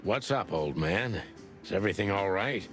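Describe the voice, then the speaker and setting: A hardboiled rooster detective with a deep register. He is laconic and wry, in film-noir game style, over music. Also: animated, normal speed, fairly bright tone. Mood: world-weary. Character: world-weary, wry rooster private detective, male. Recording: music under the voice.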